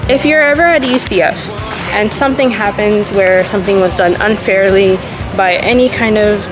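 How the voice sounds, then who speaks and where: A woman's voice: mid-range voice, normal speed, low-quality recording. A woman in her twenties from Jamaica, filming a casual walking tour of her university campus with a camera held at arm's length, explaining each building as she passes it. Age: 20s. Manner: friendly, explanatory, pointing things out as she walks. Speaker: student tour guide. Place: outdoors on a breezy campus, then an indoor hallway. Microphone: handheld camera at arm's length. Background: loud background music.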